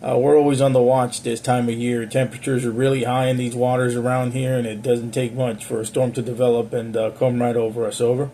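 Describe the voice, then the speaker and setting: A man chatting casually over amateur radio as an operator, courteous and measured in his radio procedure. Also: medium pitch, flat delivery, mellow. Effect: amateur radio transmission. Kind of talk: casual two-way radio chat. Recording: radio audio with faint hiss.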